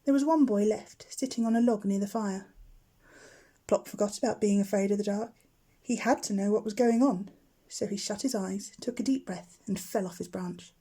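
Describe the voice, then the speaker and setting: A woman's voice: medium pitch, normal speed, mellow, slightly rough. A woman narrating a children's bedtime story as a teacher would.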